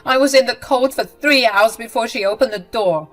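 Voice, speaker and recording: A woman voicing an angry, self-pitying mother-in-law who talks in a shrill, accusing way. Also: normal speed, fairly bright tone, faint music behind, lightly acted. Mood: tearful, accusing.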